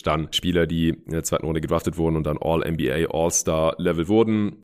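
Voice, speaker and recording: A man hosting a casual basketball podcast, going through facts in an analytical, methodical way. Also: low voice. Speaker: podcast host.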